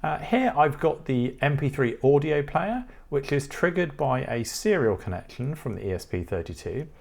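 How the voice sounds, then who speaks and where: British man, tutorial presenter, methodical explanations, mid-pitched, animated intonation. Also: normal speed, vocal fry.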